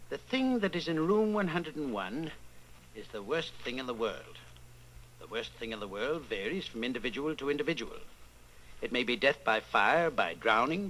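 An actor playing a cold, domineering inquisitor in a radio drama, speaking with deliberate, menacing authority. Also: male voice, medium pitch, bright, forceful tone, a little hiss or hum, low-quality recording. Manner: deliberate, commanding, interrogating. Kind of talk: old-time radio drama acting.

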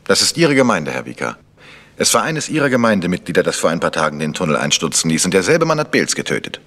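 A man's voice: sits low, very animated, quick pace, fairly bright tone, exaggerated voice. An actor playing a composed male amateur detective in a German radio crime drama, asking probing, matter-of-fact questions. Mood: insistent. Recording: long reverberant hall.

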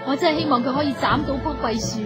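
An actress playing a tender, hesitant young newlywed wife in a radio drama. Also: female voice, sits high, fast talker, over loud music, close to an everyday voice. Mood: wistful. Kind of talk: Cantonese radio drama acting.

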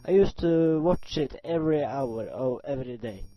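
Male actor as young cartoon schemer, casual, comic, dramatic, medium pitch, faint music. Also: animated, normal speed, muffled recording, close to an everyday voice. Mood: wry. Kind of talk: amateur web cartoon character acting.

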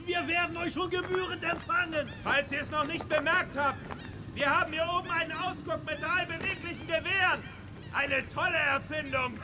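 A gloating outlaw calling out super high, taunting, lots of noise behind. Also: male voice, fairly even intonation, unhurried, long pauses. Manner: mocking, calling out. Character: taunting, boastful Wild West outlaw.

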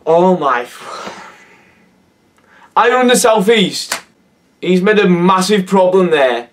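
Hoarse teenage Cumbrian lad. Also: male voice, high-pitched, lively intonation, slow, a bit bright, recorded from a distance, a little hiss or hum. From Cumbria, England. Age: teens. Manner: laughing, swearing, incredulous. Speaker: YouTuber. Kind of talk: casual reaction vlog. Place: bedroom, indoors. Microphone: webcam at desk.

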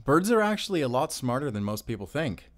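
A mid-pitched man's voice , an actor's, as an awkward, friendly single dad in an audio roleplay. He rambles nervously and apologises often, sounding like a flustered bird-loving neighbour. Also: big pitch swings, brisk, natural voice. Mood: chatty, sheepish. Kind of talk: audio roleplay addressed to the listener.

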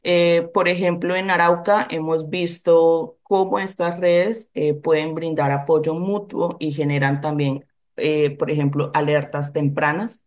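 A woman speaking as a panelist on a video call, giving formal remarks in a measured way with many hesitant fillers, picked up by a laptop mic. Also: deep voice, level tone, a little mellow. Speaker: NGO panelist.

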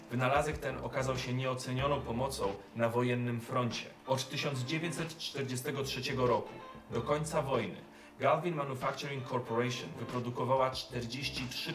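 Male presenter, around 30, scripted science narration, medium pitch, roomy distant mic with music underneath. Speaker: presenter of a popular science show. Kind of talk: scripted educational narration to camera. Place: furnished indoor studio set with desk and shelves. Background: background music.

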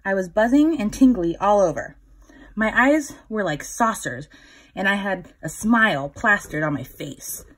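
Woman reading a picture book to children. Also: medium pitch. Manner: instructive, pausing to prompt listeners with questions. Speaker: children's story time reader.

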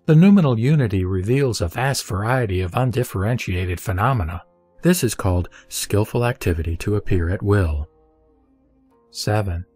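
A man reading Buddhist scripture as scripted narration, in measured, deliberate short phrases. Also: fairly low pitch, lively intonation, a little mellow, no room sound. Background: background music.

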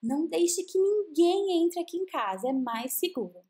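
A woman's voice: very high-pitched, expressive, normal speed, mellow. A woman voicing a kindly old male dwarf who speaks in a caring, cautioning way.

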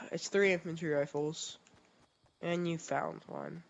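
A young man, a streamer recovering from a cold, chatting casually and haltingly while he plays, with lots of fillers and restarts. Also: on the high side, fairly even intonation, gravelly. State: sniffly, getting over a cold. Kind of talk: casual gaming chat.

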